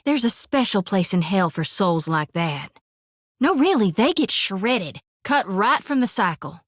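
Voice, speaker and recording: A woman's voice: fairly high pitch, no room sound, studio quality. An actress voicing a friendly, folksy young woman priest in a video game, with a drawl and a chatty, earnest manner. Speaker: game companion character.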